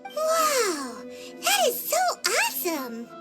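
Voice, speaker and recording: Tiny fairy sidekick, anime game. Very squeaky, childlike, curious, bouncing wildly in pitch. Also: female voice, huge pitch swings, slow, loud music behind the voice, exaggerated voice. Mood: amazed. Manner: wide-eyed, exclamatory. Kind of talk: English anime game dub.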